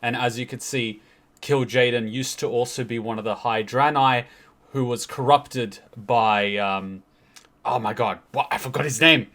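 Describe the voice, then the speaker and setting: A man with a mid-pitched voice gives casual explanatory commentary as a video host. He sounds unscripted and pauses to search for words. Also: fairly bright tone.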